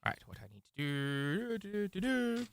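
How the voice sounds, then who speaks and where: A man's voice: high-pitched, very animated, measured pace, smooth. A man hosting a casual game live stream, with a theatrical, showman-like way of talking.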